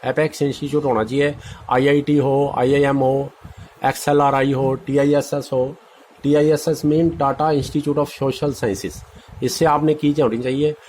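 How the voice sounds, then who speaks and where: A man's voice: medium pitch, flat delivery, normal speed, darkish tone, slight background noise, rough recording. An Indian man presenting an informal, instructive explainer, mixing in English terms and repeating key points. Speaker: exam-prep presenter.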